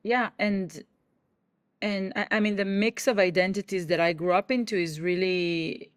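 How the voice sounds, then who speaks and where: A woman's voice: mid-range voice, steady tone, dry recording. Israeli comedian lady in an interview, stops and restarts a lot, dry close sound. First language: Hebrew. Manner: halting, many restarts, acts out quoted voices. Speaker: guest comedian. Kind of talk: conversational interview.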